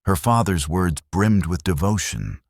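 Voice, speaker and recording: A man narrating a romantic western story in a measured, earnest way. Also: deep voice, very animated, creaky, dry recording, studio quality. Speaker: audiobook narrator.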